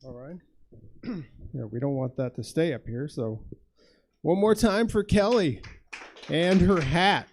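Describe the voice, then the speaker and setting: A man hosting a stand-up comedy show on a theater stage. He talks casually and haltingly, with many fillers. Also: fairly high pitch, expressive, a little hiss or hum. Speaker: host and producer of the show.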